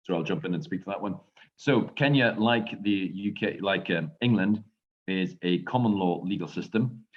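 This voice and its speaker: A man with an Irish accent, a law lecturer and barrister, calmly answering students' questions in an online lecture. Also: low-pitched, moderate pace. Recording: dull lo-fi audio.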